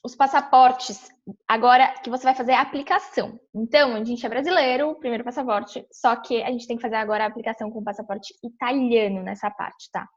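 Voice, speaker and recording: A woman's voice: on the high side, fairly even intonation, fast. A young woman from São Paulo with a Brazilian accent, explaining a screen-share tutorial in a chatty way, full of fillers and restarts. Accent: São Paulo Brazilian Portuguese. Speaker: vlogger walking through a visa application. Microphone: webcam on a computer. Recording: dull lo-fi webcam audio.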